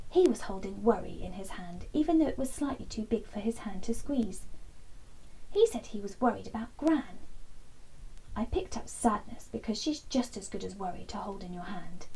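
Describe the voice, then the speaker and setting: Female storybook reader, thirties: gentle and mellow, lively intonation, breathy edge. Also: mid-range voice, poor audio. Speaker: children's bedtime story reader. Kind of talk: storybook read-aloud. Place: small indoor den with fabric and soft toys.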